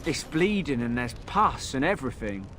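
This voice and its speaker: A man's voice: mid-range voice, very animated, moderate pace, a bit bright, a little hiss or hum. A man playing a crude, complaining farm labourer in a video game, whining and oversharing. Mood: eager complaining. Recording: game music under voice.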